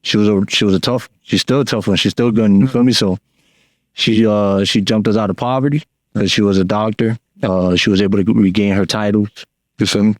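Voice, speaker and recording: A Cuban-born man, a guest talking casually on a podcast in a slangy, relaxed way full of fillers. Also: lowish voice, a little fast, dry recording. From Cuba. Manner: laid-back slangy talk with many fillers.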